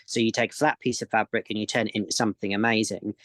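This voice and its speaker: A man's voice: lowish voice, steady tone, fast talker, fairly bright tone, dry recording. Man explaining sewing tips, dry sound. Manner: enthusiastic, repeats words when explaining. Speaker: guest sewing expert. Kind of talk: casual chat.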